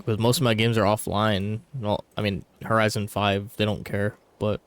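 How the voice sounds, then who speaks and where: A man's voice: fairly low pitch, flat delivery, moderate pace, smooth. A man chatting casually and offhand in slangy speech while playing a game. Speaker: gaming streamer. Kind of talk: casual chat over gameplay.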